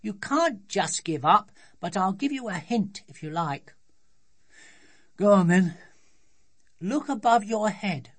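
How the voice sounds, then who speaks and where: A woman's voice: mid-range voice, expressive, slow, long pauses, pitched above the performer's own voice. A woman voicing a bossy, triumphant little girl in a children's storybook, with emphatic, insistent delivery. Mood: severe, insistent.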